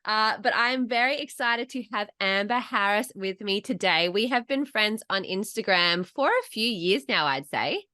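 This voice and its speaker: A woman's voice: mid-range voice, expressive, moderate pace, hardly any pauses, sharp tone, no room sound, clean studio sound. A woman with an Australian accent hosting a podcast, chatting casually, swearing now and then. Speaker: podcast host. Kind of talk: casual chat interview.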